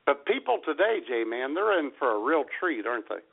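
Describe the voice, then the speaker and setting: A man with a raspy, gravelly voice hosting a comedy radio show, talking casually and announcing with mock grandeur. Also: a little high, big pitch swings, moderate pace. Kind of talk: casual introduction of a comedy segment. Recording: thin phone-like band.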